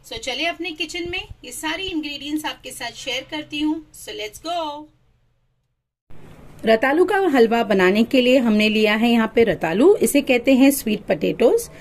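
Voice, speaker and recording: A woman's voice: high-pitched, lively intonation, unhurried, distant mic. A woman hosting her cooking channel, chatting through a recipe as voice-over and mixing English phrases into her talk.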